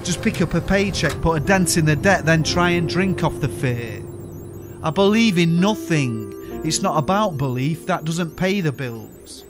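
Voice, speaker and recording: A man's voice: a little high, expressive, measured pace. A man with a Yorkshire accent performing spoken word poetry in a rhythmic, weary, detached voice, recorded as a voice-over. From South Yorkshire, England. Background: music bed.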